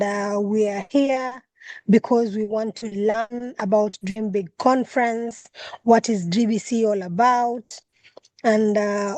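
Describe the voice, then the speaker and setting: A woman's voice: mid-range voice, darkish tone, no room sound. A woman from Kenya hosting an online meeting as regional coordinator, speaking with frequent fillers and restarts over a video call. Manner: halting, many fillers and restarts.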